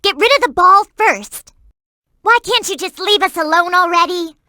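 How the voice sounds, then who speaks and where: High, perky girl game sidekick. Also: moderate pace, sharp tone, no echo, studio quality. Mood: urgent, alarmed. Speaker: in-game hint-giving companion. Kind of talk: video game voice acting.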